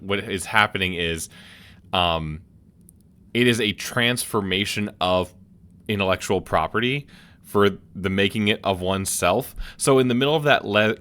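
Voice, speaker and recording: Deep, bright-toned man, casual let's-play commentator, chatty and rambling. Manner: rambling, full of restarts and filler.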